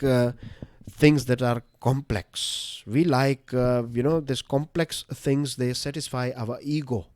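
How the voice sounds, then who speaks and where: A man's voice: medium pitch, a little mellow, dry recording, clean studio sound. An Indian man with a Gujarati-influenced accent, a Gujarati native speaker, giving a casual current-affairs lecture with lots of fillers and rhetorical questions. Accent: Gujarati-influenced Indian English. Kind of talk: conversational exam-prep lecture.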